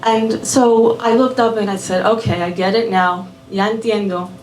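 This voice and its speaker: A woman raised in Miami telling a personal story live at a small venue, unhurried and measured, dropping in Spanish words. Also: mid-range voice, fairly even intonation, a little mellow, a little hiss or hum. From born in Puerto Rico, raised in Miami. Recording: roomy venue audio from a distant mic.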